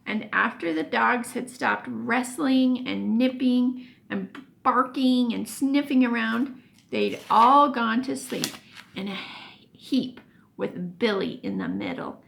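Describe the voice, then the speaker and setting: A woman teacher reading a children's story aloud expressively, pausing for emphasis and explaining things as she goes. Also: on the high side, gravelly, a bit bright.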